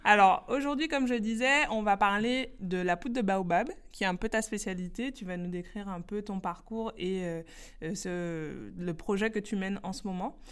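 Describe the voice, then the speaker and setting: A woman hosting a podcast interview, upbeat and playful, laughing often and firing off quick questions. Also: mid-range voice, clean tone. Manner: quick-fire quiz questions. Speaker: podcast host interviewing a guest. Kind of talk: casual interview chat.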